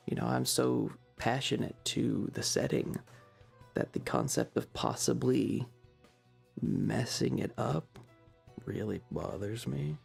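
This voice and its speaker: An ASMR stream host chatting softly and haltingly to viewers, sometimes in a whisper, close to the microphone. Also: medium pitch, creaky, breathy edge. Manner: soft-spoken, halting, talking to chat. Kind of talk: casual ASMR stream chat, partly whispered.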